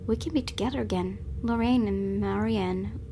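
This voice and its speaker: Female let's play host, average pitch, dramatic read-aloud narration, slight noise behind the voice. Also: moderate pace, dark tone.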